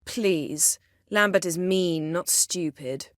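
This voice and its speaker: A woman voicing a proud, sharp-tongued sorceress in a video game, speaking in a cool, measured, sardonic and commanding manner. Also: on the low side, dry recording, clean studio sound. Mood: dry, sardonic.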